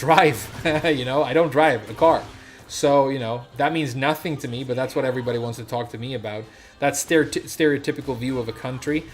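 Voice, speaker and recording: A young man from Sweden telling a rambling story on his stream, full of fillers and restarts. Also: mid-range voice.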